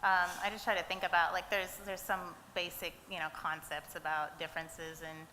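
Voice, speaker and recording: A woman's voice: lowish voice, flat delivery, moderate pace, sharp tone. A woman fighter giving thoughtful, rambling answers at a press conference. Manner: thoughtful, rambling, many fillers. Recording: roomy hall, faint noise.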